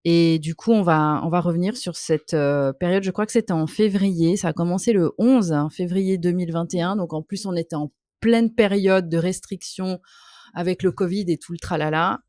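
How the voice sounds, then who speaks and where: A woman's voice: lowish voice, normal speed, a little mellow, no echo, clean studio sound. A woman hosting an interview, speaking with many hesitant fillers and an empathetic tone. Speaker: podcast host. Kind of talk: interview via video call. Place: hot home office.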